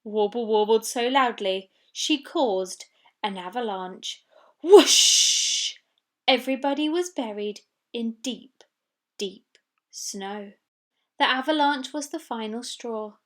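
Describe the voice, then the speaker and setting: A woman's voice: fairly high pitch, expressive, slow. A woman reading a children's storybook expressively, with dramatic delivery of sound words. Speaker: story reader.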